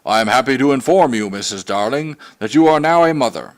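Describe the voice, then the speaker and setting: A man's voice: medium pitch, animated, fairly quick, lightly acted. A man voicing a small boy pompously pretending to be his father in a storybook reading. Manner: pompous, formal announcement.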